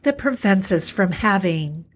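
A middle-aged woman hosting a podcast, talking alone in an earnest, reflective way; her voice is a little hoarse today. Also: medium pitch, animated. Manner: earnest, repetitive, slows for emphasis. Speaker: podcast host, alternative health practitioner. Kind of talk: reflective solo talk.

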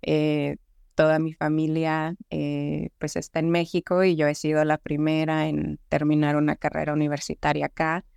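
A woman's voice: deep voice, monotone, moderate pace, creaky, a little mellow, clear, resonant tone, dry recording, clean studio sound. Earnest young woman from Juárez border. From Mexico, Juárez border region. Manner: friendly, earnest, many fillers. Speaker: guest. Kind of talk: podcast interview.

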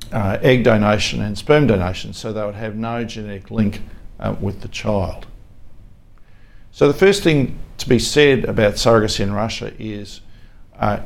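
Aussie guy hosting a legal podcast, lowish creaky voice, halting informal explainer. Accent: Australian. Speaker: podcast host, family and fertility lawyer. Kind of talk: informal explanatory monologue with anecdotes.

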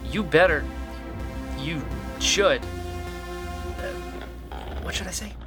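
An actor playing a nervous, earnest young male rebel radio host in a sci-fi radio drama, halting and flustered. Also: medium pitch, wildly swooping pitch, over music. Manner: halting and flustered, stammering, turning solemn in speeches.

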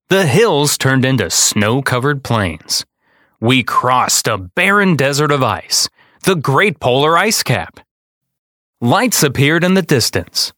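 A man's voice: mid-range voice, a bit bright, dry recording. Male storyteller, podcast-host narration, very varied intonation, dry sound. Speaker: podcast host reading a children's book aloud.

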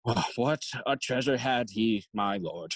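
A husky, pompous old courtier. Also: male voice, mid-range voice, animated, measured pace, dry recording. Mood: flattering. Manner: pompous, declamatory list-making. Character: pompous, long-winded old royal counsellor, fussy and eager. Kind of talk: Shakespearean audio play acting.

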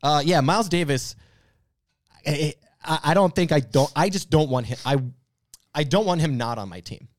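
A man hosting a podcast in casual, joking banter, talking emphatically with drawn-out stresses. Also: fairly high pitch, animated, dry recording, studio quality. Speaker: podcast host. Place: podcast recording space.

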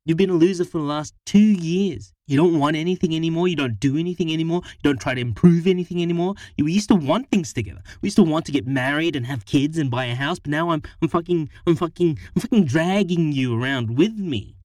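A man voicing an exasperated woman who is fed up with her partner, with sharp, frustrated outbursts. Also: mid-range voice, measured pace, long pauses, no room sound, recorded from a distance, lightly acted. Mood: angry.

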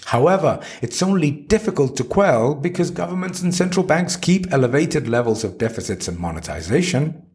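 A man narrating a written article in a scripted, emphatic and deliberate reading style. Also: a little high, big pitch swings, darkish tone.

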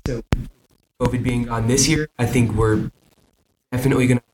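A man guest from the swim team answering haltingly with many ums. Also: medium pitch, fairly even intonation, music in the background. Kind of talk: interview answers. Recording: noisy, clicking background.

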